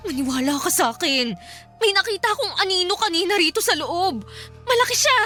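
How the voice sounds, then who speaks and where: A woman's voice: very high-pitched, animated, brisk, bright, forceful tone, soft background music, exaggerated voice. A Filipino woman acting in a radio drama as an anxious, tearful and insistent young woman who lives alone. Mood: hurt, insistent.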